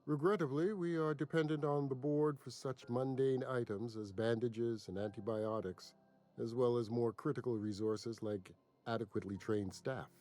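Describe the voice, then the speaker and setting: A man playing a weary, polite hospital official in a video game, speaking in a measured, apologetic way. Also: mid-range voice, steady tone, a little mellow, natural voice. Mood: regretful.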